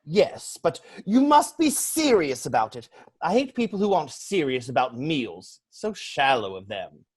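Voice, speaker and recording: A man acts out a young English gentleman idler from a stage comedy. His highish voice swoops about as he delivers flippant wit with airy, pompous conviction. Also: big pitch swings, unhurried, slightly rough, heavily acted. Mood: breezy, arch. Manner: pompous, airily mock-serious declaiming. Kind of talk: theatrical dramatic reading of a stage comedy.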